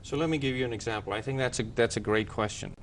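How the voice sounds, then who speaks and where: Male panelist from Pakistan, reflective and deliberate, speaking over a faint low rumble. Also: fairly bright tone. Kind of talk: personal reflection in a discussion.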